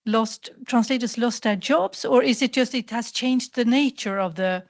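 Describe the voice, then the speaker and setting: A woman's voice: a little high, normal speed. A woman asking a rambling, hesitant question from the audience, with lots of fillers and restarts. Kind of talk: spontaneous question from the floor.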